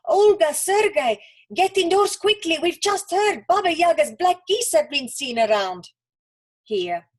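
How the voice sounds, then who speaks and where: A woman's voice: very high voice, animated, measured pace, voice pushed up. A woman voicing a terrified mother in a children's story, bellowing urgently to her children.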